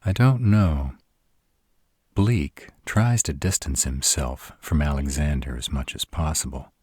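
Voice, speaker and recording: Man voicing a very deep, commanding vampire prince. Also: a little mellow, slightly rough, no room sound, studio quality, heavily acted. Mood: brooding. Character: arrogant, commanding vampire prince, wary and strategic. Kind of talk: roleplay audio drama.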